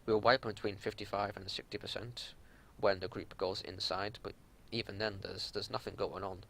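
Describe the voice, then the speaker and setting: A man's voice: fairly low pitch, fairly even intonation, brisk, vocal fry, fairly bright tone. A man giving unscripted game analysis as a raid leader, explaining things in an exasperated, matter-of-fact way. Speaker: raid leader reviewing gameplay.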